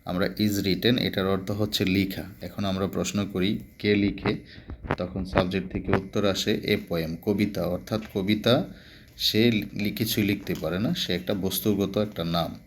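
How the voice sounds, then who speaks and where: A man's voice: low-pitched, steady tone, measured pace, noisy background. A man, a Bengali speaker, giving a grammar lesson as a teacher, explaining step by step with repetition. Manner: didactic, repeats explanations step by step.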